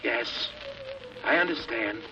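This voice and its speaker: An actor playing a desperate, haunted test pilot in a 1950s radio drama, speaking with urgency and intensity. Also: male voice, mid-range voice, lively intonation, music in the background. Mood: dazed, awed. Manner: urgent, intense, halting when entranced. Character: desperate, haunted male rocket test pilot raving under hypnosis. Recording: muffled, hissy old radio recording.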